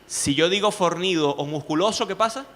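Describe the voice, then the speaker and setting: A man with a Venezuelan accent, a journalist speaking to students in an animated way, often asking rhetorical questions. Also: sits high, fairly quick. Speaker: journalist and speaking coach, guest. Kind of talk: lively talk to students.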